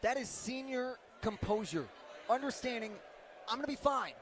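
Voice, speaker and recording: A man's voice: very high voice, animated, moderate pace, fairly bright tone. A man working as a radio color commentator, analysing football plays live in loose, conversational sentences. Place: football stadium broadcast position. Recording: faint stadium background noise.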